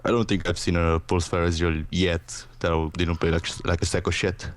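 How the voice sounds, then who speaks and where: Low-voiced, dry, sarcastic male game commentator. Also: little pitch movement, normal speed. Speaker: gaming co-commentator.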